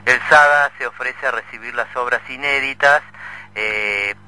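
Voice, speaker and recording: A middle-aged Argentine man co-hosting a radio show, making announcements in a halting way and often repeating himself. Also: medium pitch, pushed and bright, poor audio. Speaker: radio co-host.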